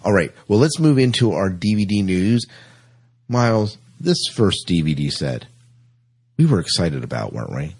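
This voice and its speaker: A man's voice: sits low, sing-song, moderate pace, darkish tone, slightly rough. An American man hosting a casual entertainment news podcast in an informal, conversational way. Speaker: podcast host.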